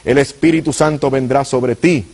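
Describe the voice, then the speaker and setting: Husky Puerto Rican preacher man. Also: mid-range voice, fairly even intonation, normal speed, darkish tone. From Puerto Rico. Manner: earnest, addresses viewers directly. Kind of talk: apologetics teaching.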